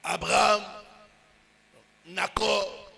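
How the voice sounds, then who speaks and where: Pastor guy preaching, really high bright voice, gravelly fry, punchy bursts then slow drops. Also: steady tone. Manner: preaching with rising, emphatic bursts and drawn-out pauses. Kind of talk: sermon.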